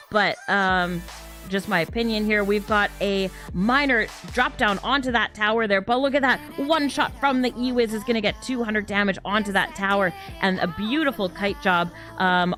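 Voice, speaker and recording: A woman's voice: medium pitch, fairly bright tone. A woman in her thirties doing live game commentary on a stream, chatty, with lots of little fillers. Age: 30s. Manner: chatty, laughs often, frequent "um" fillers. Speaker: esports caster.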